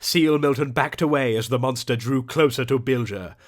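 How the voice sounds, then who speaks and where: A man's voice: mid-range voice, normal speed. A man narrating a scripted audiobook adventure in a theatrical, descriptive storytelling manner.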